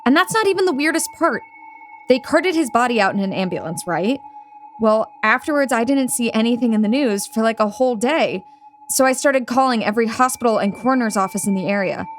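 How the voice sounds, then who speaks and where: A woman's voice: a little high, lively intonation, brisk, faint music behind, lightly acted. A woman playing a shaken young tech engineer in an audio drama, conversational, earnest and emphatic. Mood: shaken, urgent. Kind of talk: audio drama table-read acting.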